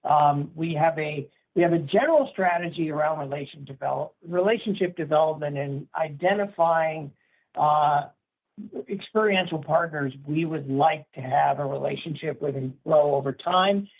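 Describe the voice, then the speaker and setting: A male company executive answering questions on a conference call in a measured, formal and emphatic way. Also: fairly high pitch, gravelly. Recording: narrowband conference phone line.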